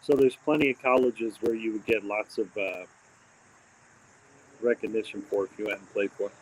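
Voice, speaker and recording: A man's voice: lowish voice, fairly even intonation, normal speed, slight background noise, low-quality recording. Midwestern-raised man in casual livestream chat, meandering, filler-heavy delivery, crickets in the background. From born in Texas, raised mostly in Indiana. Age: adult with a daughter. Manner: rambling, many fillers and restarts. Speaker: host of a live stream.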